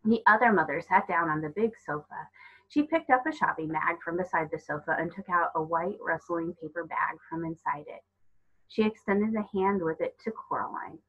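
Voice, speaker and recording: Female storyteller for children's fiction: lower register, husky touch, narrated read-aloud, muffled recording. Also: moderate pace, fairly bright tone. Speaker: storybook reader. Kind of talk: reading a children's novel aloud.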